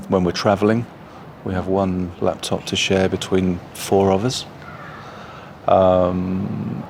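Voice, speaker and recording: A man who works in a shared office, answering interview questions in a measured way with frequent "um" pauses. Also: low-pitched, creaky, noisy background. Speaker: office worker.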